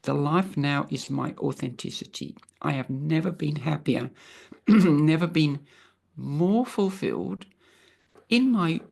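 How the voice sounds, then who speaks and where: Croaky, gravelly woman in her sixties. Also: sits low, takes it slowly, darkish tone. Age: 60s. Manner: earnest, thinking aloud with many restarts. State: croaky from travel. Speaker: interview guest. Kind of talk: reflective personal conversation. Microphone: video call.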